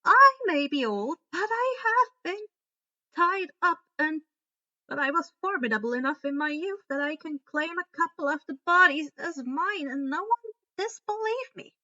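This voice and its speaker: A woman's voice: high voice, lively intonation, moderate pace, bright, forceful tone, no room sound, highs cut off, voice pushed up, heavily acted. A woman voicing a proud, protective old witch in a storybook reading, emphatic and reassuring.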